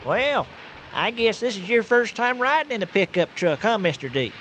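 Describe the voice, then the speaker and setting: An actor playing an excitable, kindly country truck driver with a folksy, stammering manner, in a radio comedy drama. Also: male voice, sits high, normal speed. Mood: folksy, chatty. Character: excitable, kindly rural manure hauler, folksy and plain-spoken. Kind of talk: old-time style radio comedy drama acting. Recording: noisy radio drama track with ambience.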